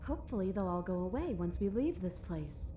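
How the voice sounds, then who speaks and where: Ghostly princess: sardonic, teasing menace for a visual novel, soft mellow tone, game music underneath. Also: female voice, on the low side, big pitch swings, a little fast. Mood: sardonic, taunting. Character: ghostly dead princess, playful, sly, menacing, hungry to possess. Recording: game audio with music behind.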